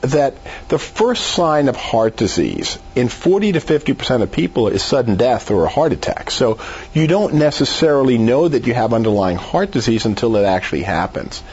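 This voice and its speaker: A man's voice: mid-range voice, a little mellow, breathy edge, muffled recording. A man speaking as a medical expert in an interview, giving conversational advice in an emphatic, persuasive way. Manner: emphatic, persuasive, rhetorical questions. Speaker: doctor, expert guest.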